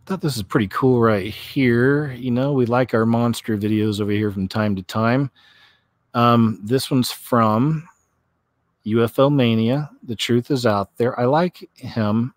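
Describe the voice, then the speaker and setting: A man with a mid to slightly low voice hosts a casual online commentary show. He talks in a folksy, rambling way, often repeating himself as he reacts to what he is presenting. The sound is dry, with very little room echo. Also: unhurried. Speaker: host of a news commentary vlog. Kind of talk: casual chatty commentary on clips and read-out news.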